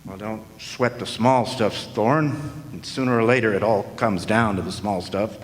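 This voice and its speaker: An actor playing a wisecracking hard-boiled detective in a noir radio drama, deadpan and full of slang. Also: male voice, lowish voice, normal speed, background noise, close to an everyday voice. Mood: wry narration. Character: wisecracking hard-boiled male private detective narrating his case. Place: large hall, live stage performance. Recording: echoey hall, live stage performance.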